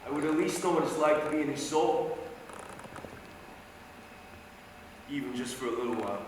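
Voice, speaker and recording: An actor playing an anguished, foul-mouthed younger man in a dramatic stage monologue, speaking emphatically in street talk with dramatic pauses. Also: fairly high pitch, close to an everyday voice. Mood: somber, reflective. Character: anguished, foul-mouthed younger man grieving over his soldier brother. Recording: very echoey hall, distant mic, faint noise.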